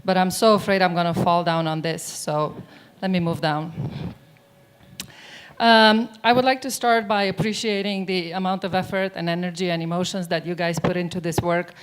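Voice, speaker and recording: A woman's voice: on the low side, steady tone, normal speed, clear, resonant tone. A middle-aged woman from Romania giving a conference keynote on stage, chatty and often asking the audience questions. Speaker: keynote speaker. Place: stage of a large conference hall. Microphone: mic on stage.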